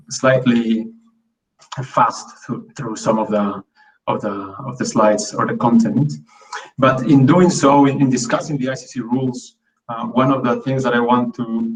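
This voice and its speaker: A man's voice: mid-range voice, recorded from a distance, rough recording. A man from Peru giving an online academic talk in English, hesitant with many fillers and restarts. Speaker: guest lecturer.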